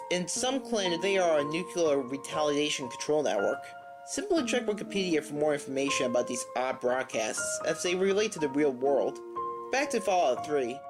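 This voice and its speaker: A narrator reads a scripted video game horror story in the manner of an online reviewer. The delivery is that of someone telling a mystery step by step, at a middling pitch, with music clearly playing behind the voice.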